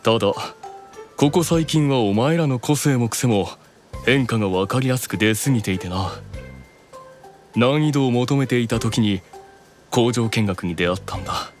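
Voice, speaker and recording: A man's voice: medium pitch, soft background music, natural voice. Composed, proud demon eldest brother, played by a man. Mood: measured, knowing. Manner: composed, authoritative, deliberate explaining. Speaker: voice actor. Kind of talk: anime audio drama dialogue.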